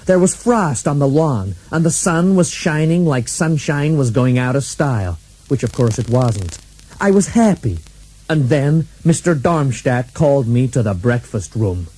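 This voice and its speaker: An actor playing a deadpan hardboiled bodyguard and assassin who narrates his own story in a comic radio play. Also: medium pitch, measured pace, poor audio.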